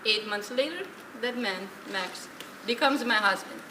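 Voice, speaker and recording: A woman playing a sardonic old woman, high-voiced. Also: expressive, unhurried. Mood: matter-of-fact. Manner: dry sarcasm, scolding, Yiddish-flavoured phrasing. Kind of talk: stage play acting, dramatic dialogue. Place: stage in a theatre, distant microphone. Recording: echoey, noisy theatre recording from far off.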